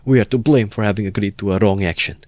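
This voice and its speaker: A man's voice: on the low side, fast, darkish tone, no room sound, natural voice. A man voicing an indignant, fiercely protective older mother in an audiobook, with exclamatory, scolding questions.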